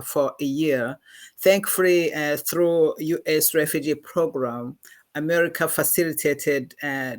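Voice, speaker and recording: A middle-aged woman from Rwanda with a Rwandan accent, telling her story as a podcast guest in an earnest, measured way with many pauses and fillers. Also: sits low. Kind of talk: personal testimony in a podcast interview.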